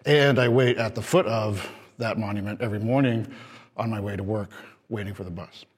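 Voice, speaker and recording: Casting: man, museum guard, halting speech, long hall reverb. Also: on the low side, breathy edge. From Baltimore. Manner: hesitant, with fillers and restarts. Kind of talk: interview testimonial.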